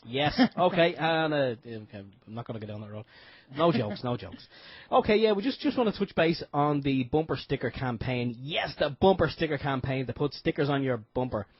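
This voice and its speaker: Male co-host, raspy mid-pitched voice, dry close sound, relaxed and jokey. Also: normal speed, no room sound. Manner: relaxed, jokey, repeats himself. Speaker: radio show co-host. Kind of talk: casual radio chat and announcements. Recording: dull lo-fi internet radio audio.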